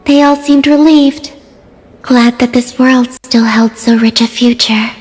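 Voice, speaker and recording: Woman acting mystical young woman, high voice, gentle, wistful, formal phrasing, game dialogue, long echoing reverb. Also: steady tone, a little slow, a little hiss or hum, lightly acted. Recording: reverberant hall sound, music behind.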